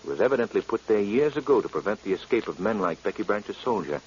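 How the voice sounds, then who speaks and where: An actor playing an assured gentleman detective in a vintage radio drama, with an earnest, clipped, theatrical delivery. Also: lowish voice, sing-song, fast, slight background noise. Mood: explaining. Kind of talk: 1940s radio drama acting. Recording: dull old radio recording.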